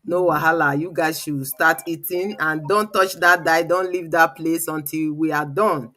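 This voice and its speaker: A woman's voice: low-pitched, fairly even intonation, normal speed, sharp tone, soft background music. A woman hosting an informal live webinar, chatty and given to repeating her phrases. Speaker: live show host.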